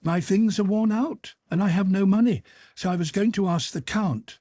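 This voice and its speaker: A man's voice: sits high, moderate pace, mellow, no echo, clean studio sound, voice pushed up. A man voicing a good-natured young officer of eighteen in a spoken storybook, polite, well-bred and a bit hesitant. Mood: earnest. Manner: polite, hesitant and a little vexed.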